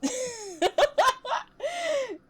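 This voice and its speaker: A woman's voice: sits low, normal speed, a little mellow. A woman playing a smug, cryptic student who mocks and needles theatrically in an audio drama. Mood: taunting.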